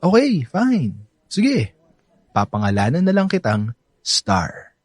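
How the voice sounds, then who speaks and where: Cocky Filipino playboy, animated pitch. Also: male voice, slow pace, husky, dry recording, studio quality, exaggerated voice. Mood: teasing, boastful. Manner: boastful, teasing, code-switches into English. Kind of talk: Filipino radio drama acting.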